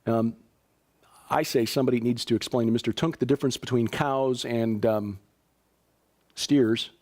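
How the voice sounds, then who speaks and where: A man's voice: mid-range voice, steady tone, moderate pace, darkish tone. A man from Chicago hosting a news show, reading stories and announcements in a conversational way, with fillers and dry asides. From Chicago, USA. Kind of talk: casual news commentary.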